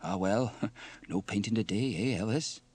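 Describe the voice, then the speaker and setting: A man's voice: fairly low pitch, normal speed, darkish tone, slightly rough. A man voicing a friendly, good-humoured fireman in a children's story. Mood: amused.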